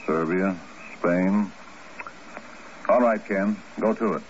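A man's voice: sits low, slow pace. A man playing a blunt, skeptical intelligence chief in a 1950s radio drama. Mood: gruff, skeptical. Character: gruff, skeptical male intelligence agency chief. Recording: noisy dull old radio recording.

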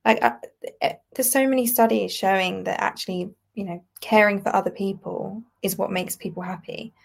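A young British woman speaks as an interview guest. Her voice is mid-pitched with plenty of creak, and she lays out her thoughts reflectively in casual, conversational phrasing. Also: fairly even intonation, moderate pace. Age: 20s. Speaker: guest writer.

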